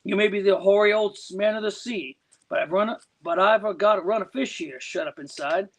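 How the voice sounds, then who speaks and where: A stubborn, salty fisherman snaps back at everyone who bothers him, voiced by a man reciting a rhyme. The voice is fairly high for a man and plainly defiant. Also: brisk. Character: stubborn, feisty male fisherman.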